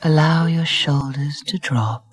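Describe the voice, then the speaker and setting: A woman narrating a guided meditation in a soft, breathy voice, soothing and deliberate, with gentle phrasing and pauses. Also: deep voice. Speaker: relaxation guide. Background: music.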